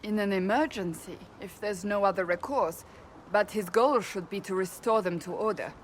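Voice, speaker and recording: An actress playing a firm warrior adviser in a video game, speaking gravely and formally. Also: female voice, medium pitch, normal speed, slight background noise, lightly acted. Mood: serious. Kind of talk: video game dialogue acting.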